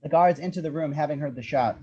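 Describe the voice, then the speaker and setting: A man's voice: fairly high pitch, fast talker, darkish tone, slightly rough. A man reading scripted action descriptions as the narrator of an amateur table read, in muffled audio.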